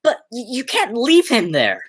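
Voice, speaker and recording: A teenage woman playing an agitated, horrified young man who stammers and exclaims in a high school radio play. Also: huge pitch swings, measured pace, husky, exaggerated voice. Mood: horrified, frantic.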